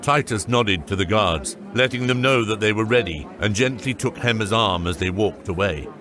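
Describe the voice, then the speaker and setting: A man's voice: lowish voice, lively intonation, moderate pace, a crowd in the background. A man reading scripted narration for a fantasy audiobook.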